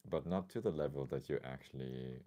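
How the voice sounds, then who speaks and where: A man's voice: rumbling low, brisk, warm tone. A man with a slightly scratchy voice chatting casually as a livestream host, explaining things thoughtfully with many hedges.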